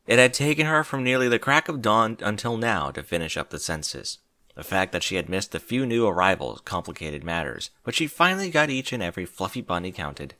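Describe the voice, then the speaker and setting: A man reading a story aloud as narrator, in an expressive scripted style. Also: on the low side, no echo, studio quality. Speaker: fan fiction narrator.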